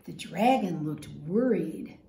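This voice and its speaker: A woman's voice: fairly low pitch, expressive, slow, mellow. A woman in her fifties reading a story aloud to children, with dramatic emphasis and questions to the listeners. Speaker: teacher reading a picture book to children. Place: large open living room at home, indoors. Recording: roomy home echo.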